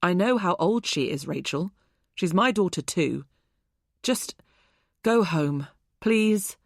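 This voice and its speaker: A woman reading an audiobook, voicing a calm, patronizing adult man. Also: high voice, slow pace, vocal fry, dry recording, studio quality, exaggerated voice. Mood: weary. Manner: patronizing, unruffled.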